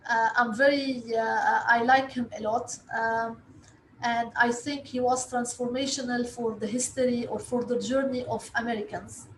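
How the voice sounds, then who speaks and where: A woman's voice: a little high, steady tone. Woman, from Lebanon, Arabic native, interview answers, hesitant with many ums, echoey room, faint noise. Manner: halting, hesitant delivery with frequent long filled pauses. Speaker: interview guest, investor relations director.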